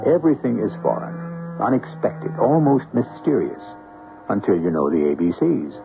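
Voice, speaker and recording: A man with a lowish voice introduces a radio mystery, and his pitch rises and falls dramatically. He narrates in a measured, theatrical manner. Music plays under him through a tinny, narrow old broadcast sound. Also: sing-song, gravelly. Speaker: host and narrator.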